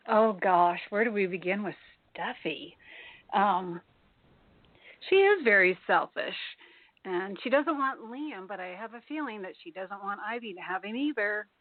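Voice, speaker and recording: A woman's voice: mid-range voice, lively intonation, unhurried, long pauses, no echo. A woman co-hosting a casual talk show, chatty and laughing often. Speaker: co-host. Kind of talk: casual chat. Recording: phone-like call audio.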